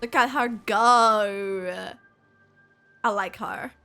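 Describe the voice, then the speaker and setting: A woman's voice: mid-range voice, drawn-out words, pushed and bright, faint music behind. A woman streamer giving casual, exclamatory reaction commentary over a video game playthrough.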